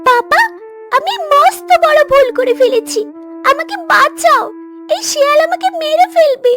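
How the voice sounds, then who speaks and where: Cartoon duckling: extremely high, childlike, frightened and plaintive, over a music bed. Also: female voice, normal speed. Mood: pleading. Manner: pleading, plaintive. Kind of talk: cartoon dialogue. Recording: music behind the voice.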